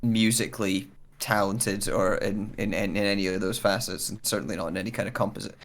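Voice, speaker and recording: A man's voice: lowish voice, steady tone, a little fast. A man chatting casually as a guest on a livestream, a voice actor who rambles enthusiastically and pokes fun at himself. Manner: enthusiastic, self-deprecating, rambling with many asides.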